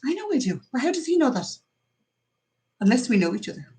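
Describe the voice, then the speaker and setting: A woman's voice: a little high, sing-song, mellow. Chatty Irish woman in her forties. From Ireland. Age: 40s. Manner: chatty, teasing, quick to laugh. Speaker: vlogger hosting a live stream. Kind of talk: casual family chat.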